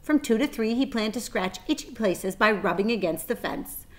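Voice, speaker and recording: A woman's voice: on the high side, animated, normal speed. Woman reading a children's book expressively. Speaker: read-aloud storyteller. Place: small plain room indoors.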